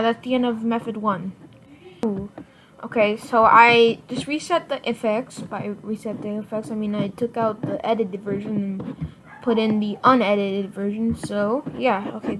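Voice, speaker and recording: A woman giving a casual software tutorial in informal, halting step-by-step instructions. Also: medium pitch. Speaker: tutorial presenter. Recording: noisy home computer mic, clicks.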